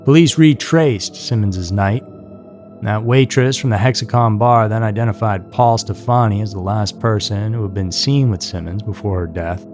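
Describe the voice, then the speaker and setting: A man's voice: lowish voice, normal speed, a little mellow. A man reading scripted true-crime narration as a narrator. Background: music.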